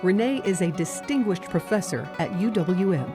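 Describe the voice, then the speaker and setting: A woman's voice: lowish voice, animated, normal speed. A woman giving scripted, polished narration for a news feature. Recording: music clearly behind the voice.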